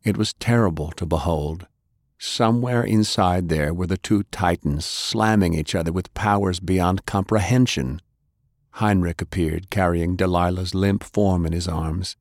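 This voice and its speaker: Deep voiced guy narrating an action novel, dramatic read, super dry studio sound. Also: a little slow, a little mellow, no echo. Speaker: audiobook narrator.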